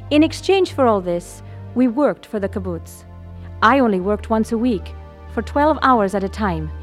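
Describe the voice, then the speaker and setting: A young woman with an Israeli accent tells her own story in an earnest, reflective voice. Her voice sits high. Soft music plays faintly behind her. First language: Hebrew. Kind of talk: radio drama narration and acting.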